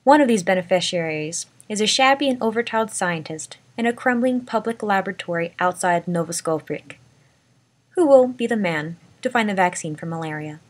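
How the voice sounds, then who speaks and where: A woman's voice: mid-range voice, animated, moderate pace. Woman reading a story aloud. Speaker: amateur story reader. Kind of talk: scripted narration, reading a short story aloud.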